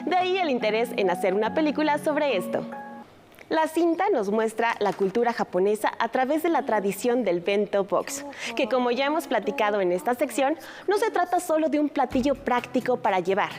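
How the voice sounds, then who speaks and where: A woman presenting a culture segment on a news program, reading a friendly, clearly paced script. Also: a little high, expressive, a little fast, a little mellow, soft background music.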